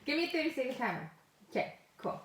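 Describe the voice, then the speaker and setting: A woman's voice: high voice, big pitch swings, quick pace. A woman with a South African accent chatting casually and playfully as a podcast co-host. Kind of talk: casual chat. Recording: roomy echo with faint noise.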